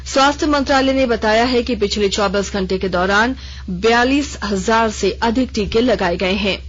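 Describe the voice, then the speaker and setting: A woman newsreader reading a formal regional radio news bulletin in a measured, even way. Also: fairly high pitch. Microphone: studio microphone. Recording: dull lo-fi radio broadcast.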